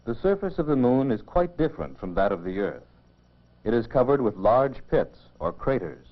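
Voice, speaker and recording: A man giving measured, explanatory scripted narration for a mid-century educational film, on a dull old film soundtrack. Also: mid-range voice, big pitch swings.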